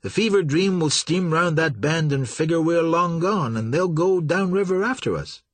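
A loyal old captain, played by a narrator pleads earnestly in plain folksy talk. His husky voice lifts somewhat above the telling. The sound is dry. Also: on the high side, animated, normal speed, no room sound, pitched above the performer's own voice. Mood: pleading. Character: old male boat captain, loyal and worried.

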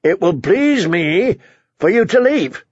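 Male narrator as iron-willed fantasy king, stern, bitter, commanding, slow, husky, dull recording. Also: mid-range voice, darkish tone, no room sound. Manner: commanding, clipped, humorless.

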